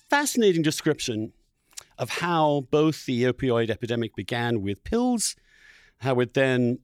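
A man's voice: mid-range voice, darkish tone, no room sound, studio quality. A man speaking as a podcast host in an articulate, emphatic and discursive way, moving between introduction and conversation. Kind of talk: conversational interview with spoken introduction.

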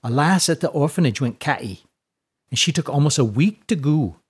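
A man voicing a perky, blunt orphan girl in a storybook, irreverent and slangy with rough grammar. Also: a little high, lively intonation, normal speed, voice pushed up. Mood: blunt, offhand.

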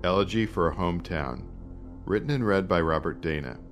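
Deep-voiced male announcer. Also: animated, brisk, soft background music. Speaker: podcast announcer. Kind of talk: scripted narration.

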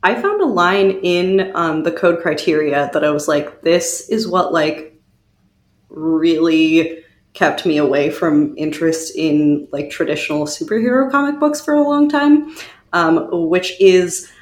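A woman chats casually as a podcast co-host. Her voice is slightly low and the recording has a clearly roomy, echoing sound. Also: unhurried.

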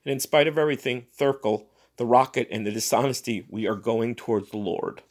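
A man's voice: medium pitch, a little fast, a little mellow, natural voice. A man voicing a devout, earnest old woman in a science fiction story, in an emphatic, exclamatory manner. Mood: awed wonder.

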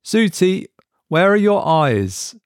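A man's voice: high-pitched, very animated, slow, no room sound, studio quality, voice pushed up, heavily acted. A man voicing a weary, irritable office worker whose voice climbs when he is strained. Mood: uneasy. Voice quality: strained, rising in exasperation.

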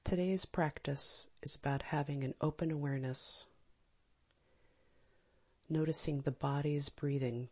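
A woman leading a guided meditation in a calm, gentle, soft and breathy manner, with long pauses. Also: low voice. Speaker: meditation teacher. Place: live small group session.

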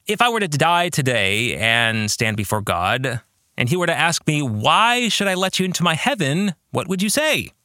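A man hosting a podcast as an author, giving a reflective book discussion alone in a measured, deliberate way with drawn-out emphasis. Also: mid-range voice, pushed and bright, dry recording, clean studio sound.

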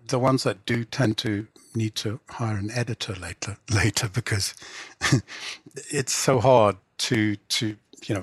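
Husky middle-aged Englishman, dry close sound. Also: fairly low pitch, no echo. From England. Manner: reflective, hedging, frequent 'you know'. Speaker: guest, writer and literary translator. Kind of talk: conversational interview.

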